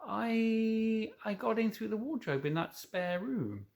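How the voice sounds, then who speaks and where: Man putting on a polite young storybook girl, higher-pitched. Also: lively intonation, slow pace, creaky, exaggerated voice. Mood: puzzled. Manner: polite, hesitant. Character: polite, hesitant young girl in a fairy tale. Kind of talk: children's storybook read aloud.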